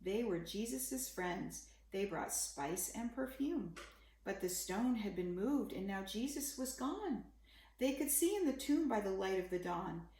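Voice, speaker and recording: A woman's voice: mid-range voice, animated, measured pace, mellow, reverberant, low-quality recording. A woman reading a rhyming children's Bible story aloud in a simple, dramatic way, as if to a young child.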